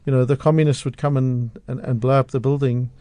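A man from South Africa gives his views as a radio studio guest. His voice is mellow and the sound is dry and close. He speaks with conviction and often restarts sentences. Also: medium pitch, level tone, brisk, no room sound. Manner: passionate, outspoken, with frequent restarts. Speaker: radio guest, media analyst. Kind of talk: radio interview. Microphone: studio microphone.

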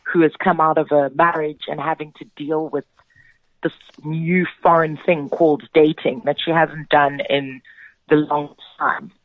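A woman's voice: deep voice, flat delivery, measured pace, no echo. A South African woman, a theatre director, speaking as a guest in a radio interview. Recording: narrow phone-like sound.

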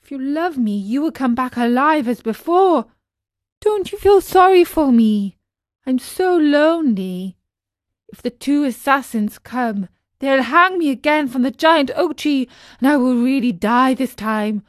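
A woman's voice: sits high, big pitch swings, slow pace, a bit bright, pitched above the performer's own voice, exaggerated voice. A woman voicing a naive, impulsive wooden marionette boy in a storybook, with an exclamatory, pleading delivery. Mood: grieving, pleading. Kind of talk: storybook character voice.

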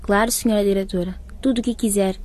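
A woman's voice: mid-range voice, fairly even intonation, fast, a little mellow, close to an everyday voice. A woman playing an earnest, anxious pregnant teenage schoolgirl in a radio drama, with a deferential, emotional manner. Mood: polite, eager.

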